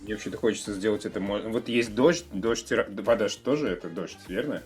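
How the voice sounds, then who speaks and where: A man co-hosting a podcast in casual chat, with playful and somewhat halting phrasing. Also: on the low side, soft background music. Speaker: podcast co-host.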